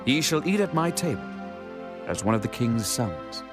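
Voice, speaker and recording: A man's voice: mid-range voice, very animated, moderate pace, natural voice. A man playing a gracious, commanding king in a dramatized scripture reading, solemn and declarative. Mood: regal, benevolent. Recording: music bed under the voice.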